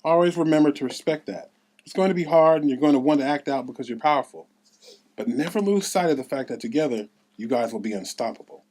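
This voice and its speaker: A man's voice: fairly high pitch, expressive, fast, darkish tone. A man reading the part of a loving father who earnestly mentors his powerful daughters, at a script table read. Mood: firm, encouraging.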